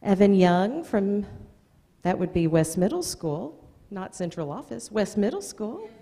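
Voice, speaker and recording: American woman announcing names at a school board meeting, mellow voice, big echoey room. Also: mid-range voice, expressive, unhurried. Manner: reads out names, with informal asides. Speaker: school district presenter. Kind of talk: announcing award nominees at a public meeting. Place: indoor meeting room at a podium. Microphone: gooseneck podium microphone. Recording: echoey meeting hall, long reverb.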